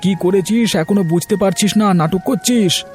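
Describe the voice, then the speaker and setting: A man's voice: sits high, moderate pace, a little mellow, faint music behind, close to an everyday voice. A man voicing an honest, earnest young village man in a cartoon, pleading and direct. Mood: accusing. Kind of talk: cartoon voice acting.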